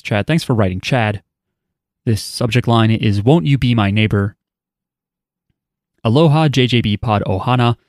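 A man's voice: medium pitch, dry recording, studio quality. A man hosting a podcast, chatting casually and reading out listener email with offhand, wry asides. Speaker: podcast host.

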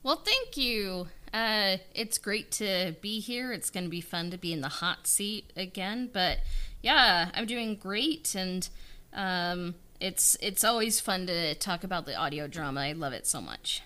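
A woman chatting casually in an interview, pausing to search for her words. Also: on the low side, expressive, bright, forceful tone. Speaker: podcast co-host, audio drama writer being interviewed.

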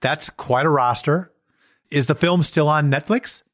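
A man's voice: a little high, a little slow. A middle-aged American man co-hosting a podcast with casual banter and dry, teasing sarcasm. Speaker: podcast co-host.

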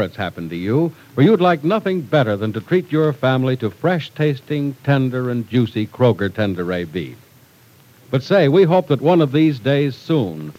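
Animated male vintage radio announcer. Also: mid-range voice, low-quality recording. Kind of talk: 1940s radio announcing, scripted sponsor read and narration. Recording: old radio broadcast recording.

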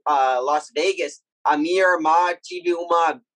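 Young man speaking learned Portuguese haltingly. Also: fairly high pitch, fairly bright tone, dry recording. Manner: halting, searching for words in a learned language. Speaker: guest athlete. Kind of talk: casual interview chat.

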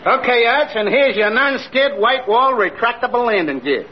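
An actor playing a falsely chummy small-time swindler with glib, hustling patter in an old radio comedy. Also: male voice, very high-pitched, lively intonation, normal speed. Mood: glib, salesy. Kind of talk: 1940s-50s radio comedy acting. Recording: muffled old radio with clear noise.

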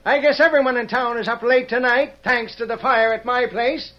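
An actor playing a desperate, folksy small-town inventor and shopkeeper in an old-time radio western. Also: male voice, very high-pitched, fairly even intonation, normal speed. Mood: bitter, resolved. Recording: muffled old radio drama recording.

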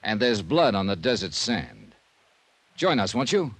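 A man's voice: lowish voice, sing-song, a little slow, background noise. An American actor playing a hard-boiled, sardonic insurance investigator who narrates his case in clipped 1950s radio style. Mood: wry, brisk. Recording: vintage radio recording with music.

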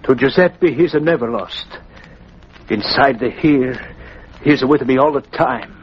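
An actor playing a warm-hearted Italian immigrant man in an old radio drama, speaking sincere broken English with an Italian accent. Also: medium pitch, unhurried, close to an everyday voice. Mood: wistful. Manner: broken English, refers to himself by name. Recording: muffled, noisy old radio recording.

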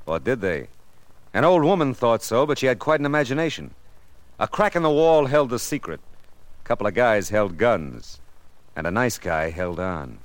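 An actor playing a wry, tough, world-weary American private detective in 1950s radio drama style, with sardonic delivery. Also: male voice, lowish voice, big pitch swings, normal speed, no room sound. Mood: teasing, suspenseful. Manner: sardonic, wisecracking. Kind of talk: 1950s radio drama acting, hard-boiled first-person narration. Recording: old radio recording with music.

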